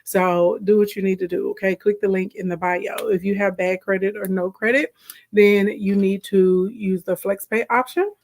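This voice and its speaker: Hoarse woman chatting on a live stream. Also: mid-range voice, steady tone, normal speed, darkish tone. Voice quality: slightly raspy voice. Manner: chatty, greets viewers by name mid-sentence. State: hoarse from a sore throat. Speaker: livestream host and business coach. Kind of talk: casual livestream chat.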